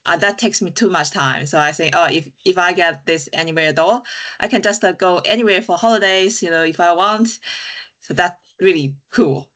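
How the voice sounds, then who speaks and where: A woman's voice: fairly low pitch, normal speed, fairly bright tone. A woman from China, a podcast guest speaking thoughtfully and often saying "you know" and "kind of". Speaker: guest, digital engineer. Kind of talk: casual interview chat.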